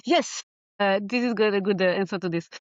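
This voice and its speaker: A woman's voice: mid-range voice, a little fast, no echo, dull audio. Swiss French woman, chatty and laughing. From Geneva, Switzerland. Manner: animated, laughs often, searches for words. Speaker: life coach guest. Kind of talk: casual interview chat.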